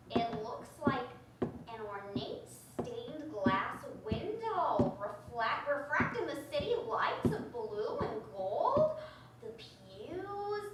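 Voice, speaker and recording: A woman's voice: high-pitched, slight background noise, full character voice. A woman playing a wide-eyed, sympathetic elevator operator in a 1940s-style radio drama, drawing out her phrases in awe. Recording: very echoey stage, distant mic.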